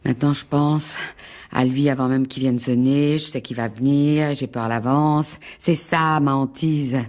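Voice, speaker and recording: An actress with a French accent playing a frightened, exhausted housewife in her forties, anxious and halting, in a radio mystery drama. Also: extremely low pitch, fairly even intonation, a little mellow. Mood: anxious, insistent. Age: 40s. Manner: anxious, halting, repeats herself. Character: frightened, exhausted middle-aged housewife, pleading and haunted.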